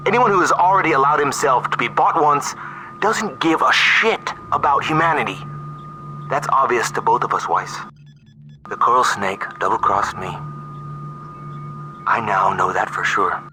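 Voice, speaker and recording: An actor playing a stern, ruthless, self-righteous army colonel in a video game cutscene, speaking in a measured, condescending, menacing way. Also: male voice, medium pitch, bright, forceful tone, low-quality recording. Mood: hard, threatening. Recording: music behind the voice.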